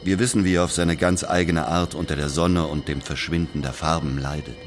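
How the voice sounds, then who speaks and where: An actor playing a brooding man who narrates a family tragedy in measured, terse radio drama monologue. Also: a bass voice, steady tone, faint music behind.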